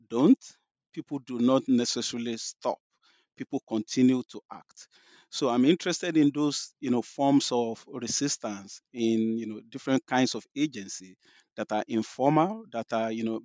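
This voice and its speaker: Middle-aged Nigerian-accented man, scholar guest, halting and thoughtful, dry close mic. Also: mid-range voice, a little mellow, no room sound. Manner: thoughtful, many restarts and "you know" fillers. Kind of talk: interview answers.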